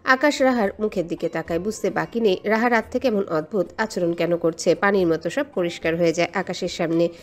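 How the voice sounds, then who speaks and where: A woman's voice: on the low side, fairly quick. Woman narrating romance over music. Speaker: story narrator. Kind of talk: scripted romantic story narration.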